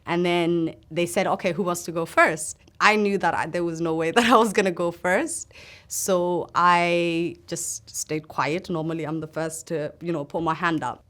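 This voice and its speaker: A woman from Somalia gives personal testimony in a sit-down interview. Her voice sits fairly low. She talks in an earnest, halting way, pausing as she recalls things. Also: fairly even intonation, a bit bright. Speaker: interview guest.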